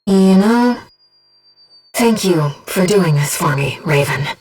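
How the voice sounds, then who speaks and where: A woman voicing an earnest, gentle guide who speaks inside a pilot's head in a mecha video game, in measured scripted delivery. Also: on the low side, expressive, slightly rough, recorded from a distance, soft background music, heavily acted. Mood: tender. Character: soft-spoken, earnest female voice in a pilot's head, loyal guide. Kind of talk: scripted video game voice acting, tactical callouts.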